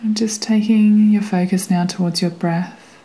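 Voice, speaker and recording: Slow, soft-voiced woman guiding meditation. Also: mid-range voice, fairly even intonation, rough recording. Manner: gentle, soothing, unhurried instruction with long pauses. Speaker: meditation guide. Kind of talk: guided meditation narration.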